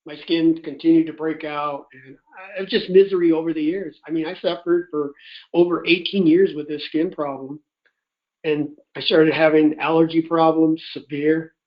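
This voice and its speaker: Higher-pitched man on a dull call recording. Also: moderate pace. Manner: animated retelling with quoted dialogue. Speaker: host telling his personal health testimony. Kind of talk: informal personal storytelling on a conference call. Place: conference call. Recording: dull, muffled conference-call audio.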